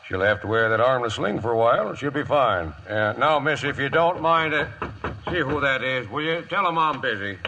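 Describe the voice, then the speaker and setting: An actor playing a busy, fussy frontier town doctor with a hesitant manner in an old radio drama. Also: male voice, mid-range voice, lively intonation, normal speed, sharp tone, dull audio. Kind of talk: 1950s radio drama acting. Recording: faintly noisy old radio recording.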